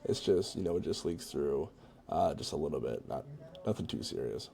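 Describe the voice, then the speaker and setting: A man with a low, creaky voice and a mellow tone. He speaks informally and conversationally, like someone walking viewers through a tutorial. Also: animated, moderate pace. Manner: informal, conversational, checks in with "right?" and "you know". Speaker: tutorial presenter. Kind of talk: casual screen-recorded software walkthrough.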